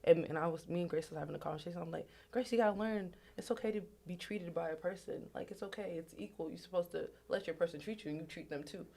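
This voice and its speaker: A woman's voice: lowish voice, fast, creaky, hardly any pauses, warm tone. A young woman co-hosting a casual radio chat, talking earnestly and reflectively about friendship with some self-deprecating humor. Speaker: podcast co-host.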